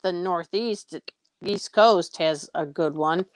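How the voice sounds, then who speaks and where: A tired American woman chatting in a relaxed, easygoing way. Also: lowish voice, slow, dry recording. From United States. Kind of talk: casual chat.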